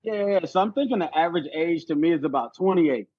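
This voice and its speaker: A man's voice: a little high, animated, quick pace, breathy edge, no room sound. A man chatting casually on a sports podcast, emphatic and prone to repeating phrases to make his point. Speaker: podcast guest, competitive cornhole player. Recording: dull, band-limited sound.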